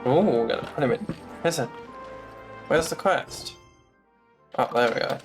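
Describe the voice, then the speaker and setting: A man's voice: mid-range voice, normal speed, creaky. A man giving casual live gameplay commentary as a streamer, with sarcastic, sweary muttering asides. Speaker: game streamer. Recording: faint game music behind.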